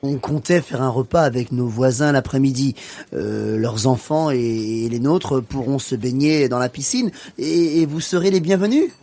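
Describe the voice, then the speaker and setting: A man's voice: mid-range voice, moderate pace, gravelly, mellow. Sociable, easygoing French husband played by a man. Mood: friendly, relaxed. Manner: sociable, welcoming. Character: friendly, easygoing French husband dining out with friends. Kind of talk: scripted language-course radio play.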